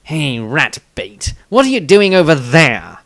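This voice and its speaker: A man's voice: a little high, sing-song, a little slow, fairly bright tone, no echo, clean studio sound, voice pushed up, exaggerated voice. A cold, clinical warrior sneers curtly at his companions in a slightly lifted voice, as a man puts him on. He sounds hostile and unfeeling, a storybook fighter. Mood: sneering. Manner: sneering, curt.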